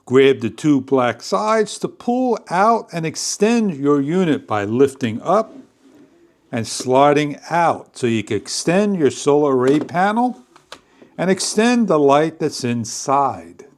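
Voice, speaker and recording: A man narrating a step-by-step product demonstration in a deliberate, phrase-by-phrase instructional way. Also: a little high, sing-song. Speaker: product reviewer.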